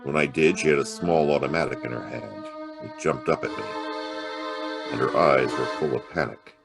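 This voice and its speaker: An actor playing a wry, hard-boiled private detective in a noir audio drama, narrating and talking in terse slang. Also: male voice, extremely low pitch, normal speed, gravelly, loud music behind the voice. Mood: matter-of-fact narration.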